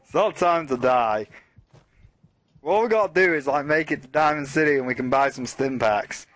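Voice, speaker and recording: A man's voice: fairly high pitch, normal speed, fairly bright tone. A man hosting a let's play, rambling casually and swearing as he talks through the game and to his chat. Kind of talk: casual gameplay commentary.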